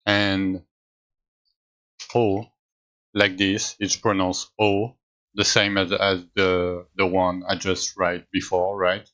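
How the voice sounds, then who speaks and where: French male streamer, low bright voice, unhurried and halting, casual chat. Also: little pitch movement, lo-fi sound. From France. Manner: halting, with frequent restarts.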